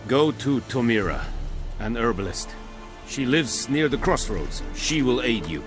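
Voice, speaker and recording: An actor playing a stern male army commander in a video game, speaking in a formal, measured, commanding way. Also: mid-range voice, animated, lightly acted. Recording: game music behind.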